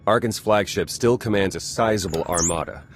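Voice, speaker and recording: Casting: actor as serious game officer, deep voice, briefing delivery, faint noise behind. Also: male voice, moderate pace, lightly acted. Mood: grave. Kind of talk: video game voice acting.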